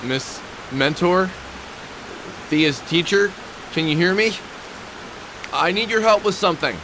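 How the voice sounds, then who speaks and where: A man's voice: high-pitched, slow, noisy background. An actor playing a weary, wry middle-aged man haunted by lost love, musing aloud in a video game. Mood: urgent concern. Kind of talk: video game voice acting, inner monologue and dialogue.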